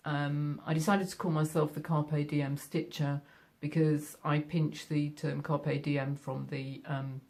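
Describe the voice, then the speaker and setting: Yorkshire lady chatting about sewing, gravelly low voice, lots of ums. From Yorkshire, England. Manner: chatty, friendly, many fillers. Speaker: sewing vlogger. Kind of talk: casual chat to camera. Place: home sewing room.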